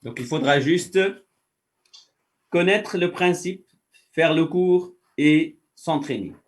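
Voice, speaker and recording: A man's voice: on the high side, slow pace, distant mic. A man, a faculty director, giving explanatory answers as an interview guest and patiently walking through first-person examples.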